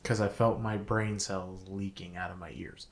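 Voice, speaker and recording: Animated young man in casual chat. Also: deep voice. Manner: animated, laughs often, quick exclamations. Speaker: podcast co-host.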